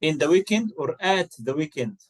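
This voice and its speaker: A man's voice: on the high side, expressive, normal speed. A man, teaching an English lesson and patiently coaxing and correcting his student's answers. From Egypt. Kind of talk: one-to-one online English lesson.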